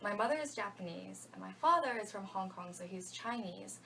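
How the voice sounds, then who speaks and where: A woman's voice: mid-range voice, expressive, a little fast, creaky, bright, forceful tone, recorded from a distance, slight background noise. Young woman answering thoughtfully. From United States (Georgia). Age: young adult. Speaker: interviewee, college freshman. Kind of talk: casual interview answers.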